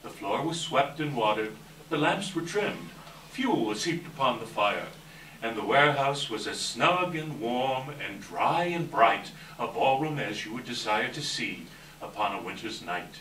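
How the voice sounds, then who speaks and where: Animated male storyteller in an echoey room. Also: mid-range voice, unhurried. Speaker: narrator in a readers theater. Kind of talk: dramatic scripted storytelling, live stage reading. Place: live event room, distant microphone. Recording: echoey hall from far off, faint noise.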